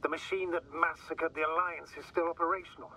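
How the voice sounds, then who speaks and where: An actor playing a calm, concerned mission commander in a video game, talking over radio in a measured, formal way. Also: male voice, on the high side, a little hiss or hum, poor audio. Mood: grave. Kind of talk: dramatic game dialogue.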